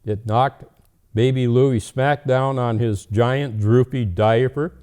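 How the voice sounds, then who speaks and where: Santa Claus storyteller played by a man: gentle, jolly old fellow, very unhurried, soft-edged mellow tone. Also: medium pitch, fairly even intonation, clear, resonant tone, natural voice. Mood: storytelling. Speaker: storyteller host. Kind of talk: storybook reading and casual chat.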